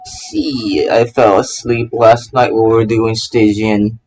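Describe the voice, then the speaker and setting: A man's voice: fairly low pitch, level tone, unhurried, darkish tone, poor audio. A man doing casual live game commentary, rambling and stammering as he repeats his phrases. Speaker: VTuber game streamer.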